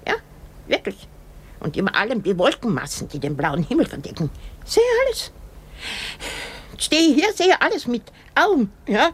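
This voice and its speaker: A woman's voice: fairly high pitch, big pitch swings, moderate pace, long pauses, slightly rough. An actress playing an elderly, cantankerous, self-pitying woman in a radio drama, exclaiming and groaning with effort. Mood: aggrieved, grandiose.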